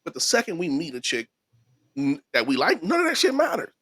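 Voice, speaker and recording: A man's voice: a little high, huge pitch swings, a little fast, fairly bright tone, breathy edge. A man co-hosting a casual podcast, chatting loosely with a friend, laughing often and repeating himself. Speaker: podcast co-host. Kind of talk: casual crude banter.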